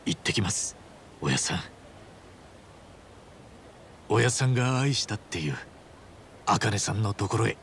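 An actor playing an earnest, loyal middle-aged ex-yakuza in a video game, speaking casually and sincerely. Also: male voice, fairly low pitch, expressive, brisk, fairly bright tone, slight background noise. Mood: quiet, resolute. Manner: casual, rough but sincere speech. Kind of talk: dramatic video game voice acting.

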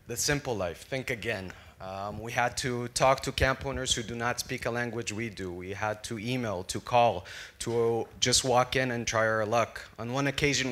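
A man in his thirties giving a rehearsed startup pitch told as a personal story from the stage. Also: sharp tone. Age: 30s. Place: large bright conference room, on stage. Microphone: handheld microphone.

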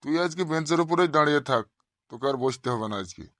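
A man playing an exasperated high-voiced cartoon schoolmaster. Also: quick pace, fairly bright tone, no echo, clean studio sound, close to an everyday voice. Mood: exasperated, scolding. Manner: scolding, sarcastic, addressing pupils bluntly. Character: exasperated, short-tempered male village schoolteacher, middle-aged. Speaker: cartoon voice actor. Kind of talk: comic cartoon dialogue.

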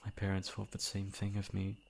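A man playing a remorseful, self-loathing young prince in a formal, halting audio roleplay monologue. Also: deep voice, monotone, vocal fry, darkish tone. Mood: ashamed, self-loathing. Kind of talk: dramatic ASMR roleplay monologue.

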